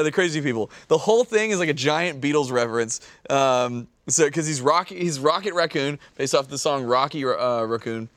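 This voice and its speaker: A man's voice: fairly high pitch, a bit bright, no echo. A man on a podcast panel telling stories casually, with slangy, sweary wording and lots of "like". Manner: slangy, sweary, heavy use of "like". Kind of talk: casual, informal storytelling chat.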